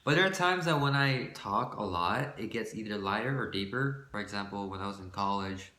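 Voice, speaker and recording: A shy young man rambling casually about his light voice, with many fillers, sometimes pushing it deeper. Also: animated, a little fast, bright, forceful tone. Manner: shy, rambling, full of fillers and self-corrections; shifts between a light voice and a forced deeper one. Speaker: vlogger. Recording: echoey room.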